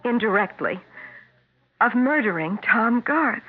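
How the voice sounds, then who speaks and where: An actress playing an anxious, frightened wife in a 1970s radio mystery. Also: female voice, on the high side, lively intonation, measured pace, heavy background noise. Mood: uneasy, confiding. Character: Helen, anxious, frightened wife fearing for her husband. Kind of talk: 1970s radio drama acting. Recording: tinny narrowband vintage radio recording.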